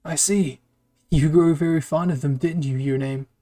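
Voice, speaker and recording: Earnest teen boy soldier in an anime story, voiced by a man, friendly, slightly high. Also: steady tone, normal speed, mellow, close to an everyday voice. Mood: observant.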